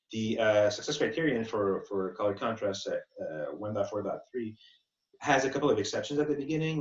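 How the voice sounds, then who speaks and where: A man explaining as an expert in an informal webinar Q&A, thinking aloud and rephrasing as he goes. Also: on the low side. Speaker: accessibility expert presenting a webinar. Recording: muffled, band-limited webinar audio.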